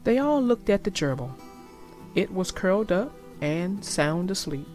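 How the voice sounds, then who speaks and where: A woman reading a children's story aloud in a deliberate, expressive storytelling manner, with music behind her. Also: fairly low pitch. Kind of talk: read-aloud storybook narration.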